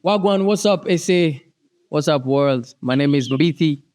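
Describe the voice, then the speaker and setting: A man's voice: a little high, a little slow, darkish tone. A man with a Kenyan accent, chatting casually as a musician guest in an interview. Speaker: musician guest, singer and rapper.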